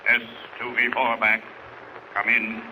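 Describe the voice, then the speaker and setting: An actor playing a strained submarine officer in a 1940s radio serial, reporting tersely. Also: male voice, mid-range voice, completely flat delivery, measured pace, bright, forceful tone. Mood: tense. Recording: faintly noisy vintage radio recording.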